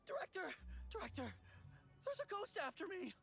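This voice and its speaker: A woman's voice: very high-pitched, big pitch swings, normal speed, creaky, heavily acted. A woman voicing a timid young male undertaker in a video game, pleading and jumpy. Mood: panicked. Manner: panicky, pleading, later formal. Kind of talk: game voice acting.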